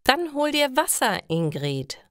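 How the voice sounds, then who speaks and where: Woman as a high, firm, outspoken young girl. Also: very animated, slow, fairly bright tone, smooth, dry recording, clean studio sound, exaggerated voice. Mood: reproachful.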